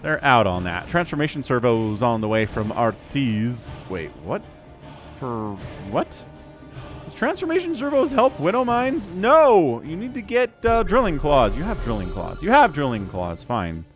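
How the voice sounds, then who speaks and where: A man in his forties giving lively, excitable play-by-play commentary on a strategy game match. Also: mid-range voice, a little slow, faint music behind. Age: 40s. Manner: animated, excitable, self-deprecating asides. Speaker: game caster. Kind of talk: esports commentary.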